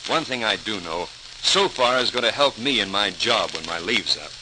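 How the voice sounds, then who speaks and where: An actor playing an eager, earnest grown son in old-time radio drama, explaining things with enthusiasm. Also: male voice, fairly low pitch, lively intonation, normal speed, lightly acted. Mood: enthusiastic. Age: young adult. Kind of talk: 1940s radio drama acting. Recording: noisy, crackling old radio transcription.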